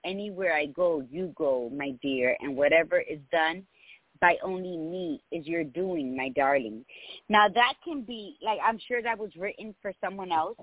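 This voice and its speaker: A woman's voice: on the low side, normal speed, dry recording. Older lady host, reflective, dry tinny phone sound. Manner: warm, reflective, quotes poetry. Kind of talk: casual radio chat.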